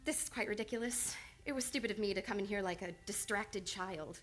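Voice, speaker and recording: A woman's voice: medium pitch, a little fast, slight background noise, close to an everyday voice. An actress playing an earnest, reserved woman psychiatrist in an old-time radio drama, speaking formally and precisely. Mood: nervous, confessing. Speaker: radio play actor. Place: radio studio.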